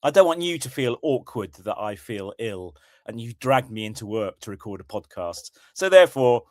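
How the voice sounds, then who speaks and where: British bloke with a bit of a cold, kinda croaky, relaxed chat, mid pitch, dry close mic. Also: male voice, moderate pace, dry recording. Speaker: podcast co-host. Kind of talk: casual chat.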